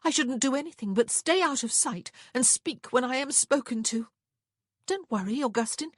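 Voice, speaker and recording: A woman's voice: on the high side, expressive, normal speed, breathy edge, dry recording, studio quality, pitched above the performer's own voice. A woman voicing a spirited, defiant upper-class young woman in an audiobook, with a pointed, dramatic manner. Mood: bitter, defiant.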